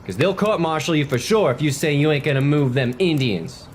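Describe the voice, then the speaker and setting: A man's voice: a little high, expressive, brisk, slight background noise, natural voice. A man playing a hard-nosed, by-the-book cavalry soldier in a historical drama, admonishing in a folksy, matter-of-fact way. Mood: warning.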